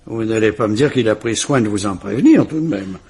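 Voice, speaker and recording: An actor playing a patient, courteous police inspector who speaks in a calm, dry way. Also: male voice, on the low side, fairly quick, breathy edge. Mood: courteous, probing. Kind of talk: 1950s French radio drama acting.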